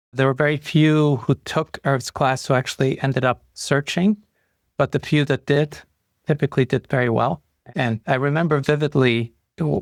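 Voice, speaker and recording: A man's voice: mid-range voice, no echo, studio quality. A man from Europe, a guest on a podcast, telling his career story fluently and with enthusiasm. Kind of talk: conversational interview answers.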